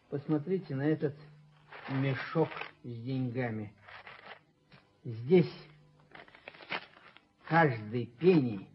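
A very husky, raspy male rent collector in a muffled old radio drama. He is servile yet bitter, pleading his case with theatrical emphasis and aggrieved self-justification. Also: mid-range voice. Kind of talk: theatrical radio play acting. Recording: muffled 1970s radio play recording.

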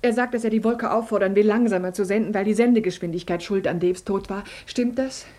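A woman's voice: medium pitch, quick pace, darkish tone, close to an everyday voice. An actress playing an anxious woman who urgently pleads for help for a friend, insistent and pleading, in a 1950s radio drama.

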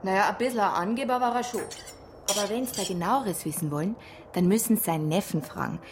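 An actress playing a chatty small-town bakery shopkeeper in a radio drama, folksy and gossipy, with a Bavarian accent. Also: female voice, medium pitch, fast, close to an everyday voice. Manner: folksy, chatty, gently disapproving. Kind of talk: naturalistic radio drama dialogue. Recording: clear ambient noise behind dialogue.

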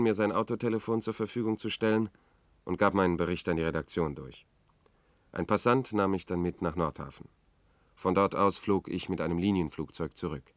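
Man playing a low-voiced, weary journalist, dry. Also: dry recording, natural voice. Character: weary, sober male journalist recounting a tragedy. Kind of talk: radio drama first-person narration.

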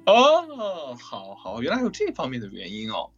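Husky voice, animated pitch, casual live host, chatty, repeats phrases. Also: moderate pace, highs cut off, faint music behind. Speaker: host and voice actor. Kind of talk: casual live event hosting.

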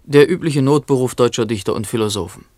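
A man acting a knowing chronicler in a radio drama, mid-pitched and recorded very dry. His narration is terse, sober and faintly ironic. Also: quick pace, a little mellow, no room sound, close to an everyday voice. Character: dry, knowing male chronicler of a poet's life. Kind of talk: literary radio play narration.

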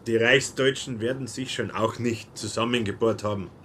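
A man plays a dismissive train passenger in radio drama. He is terse and dry, with a medium pitch. A faint ambient noise lies behind him. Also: steady tone, normal speed, fairly bright tone, lightly acted. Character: curt, dismissive male German train traveller. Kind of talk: German radio play dialogue.

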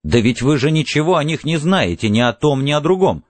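An angry man at breaking point, performed by a man in a dramatised audiobook. He is explosive and defiant, his pitch swinging widely, with faint music behind. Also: very animated, a little fast, no room sound. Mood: exasperated anger.

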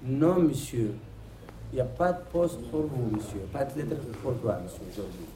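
A man's voice: medium pitch, big pitch swings, moderate pace, dark tone, reverberant, slight background noise, lightly acted, low-quality recording. A man playing a polite desk clerk.

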